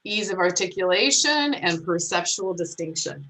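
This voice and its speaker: A woman's voice: fairly low pitch, expressive, moderate pace, a bit bright, low-quality recording. A woman hosting an online group discussion in an encouraging, explanatory way, talking casually like an academic. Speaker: host of a phonetics club meeting, academic.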